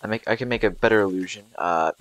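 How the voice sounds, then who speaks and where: A man's voice: lowish voice, quick pace, a bit bright, no echo. A young man giving rambling, casual commentary over gameplay, with frequent fillers and restarts. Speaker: gameplay video creator.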